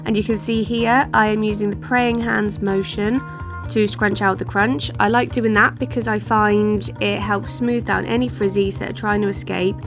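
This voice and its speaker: A woman, chatting casually through a hair-care vlog, a little croaky, rambling with lots of "sort of". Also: medium pitch, fairly even intonation, moderate pace, over music. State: slightly croaky voice. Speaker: hair vlogger.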